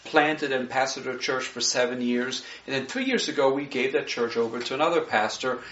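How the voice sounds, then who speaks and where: A man's voice: medium pitch, a little fast, a little hiss or hum. Swiss guy talking through his slides at church, earnest, keeps checking "right?", dull roomy sound. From Switzerland. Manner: earnest, warm asides, frequent "you know" and "right?". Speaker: missionary speaker. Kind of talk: presenting slides to a congregation.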